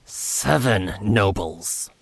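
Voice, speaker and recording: An actor playing a nervous, fussy male steward in an audiobook, haughty and quick to sputter with outrage. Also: on the low side, creaky, no room sound, heavily acted. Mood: grudging, fuming. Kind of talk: full-cast audiobook acting.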